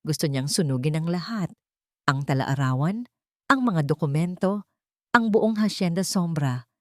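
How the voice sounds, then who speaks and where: A woman's voice: deep voice, lively intonation, unhurried, no echo, studio quality. A woman with a Filipino accent reading a scripted dramatic story as its narrator.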